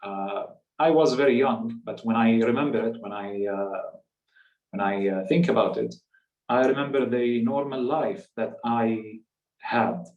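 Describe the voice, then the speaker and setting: A man's voice: medium pitch, unhurried, darkish tone, lo-fi sound. Echoey, distant Palestinian man telling his story. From West Bank, Palestinian territories. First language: Arabic. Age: 30s. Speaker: guest speaker. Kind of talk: personal storytelling in a public talk. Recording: echoey room, distant microphone.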